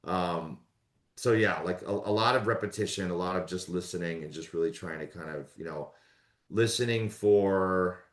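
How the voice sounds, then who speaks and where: A man's voice: low-pitched, moderate pace, echoey room. A man who narrates audiobooks, talking casually in an interview and rambling with lots of fillers. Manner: relaxed, rambling with many fillers.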